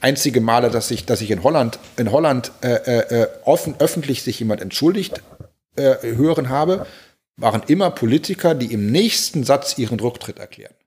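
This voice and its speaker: A man in his forties from Germany, a pastor, thoughtfully telling personal stories, halting with many restarts and repeated words. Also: medium pitch, lively intonation, a little mellow. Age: 40s. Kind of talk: reflective personal storytelling.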